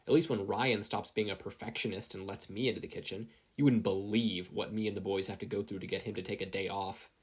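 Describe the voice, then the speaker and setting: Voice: sits low, steady tone, fairly quick, hardly any pauses, slightly rough. A performer voicing a brash, playful woman superhero with an easygoing, slangy swagger, in an audiobook style. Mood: cocky, playful. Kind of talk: audiobook-style character acting.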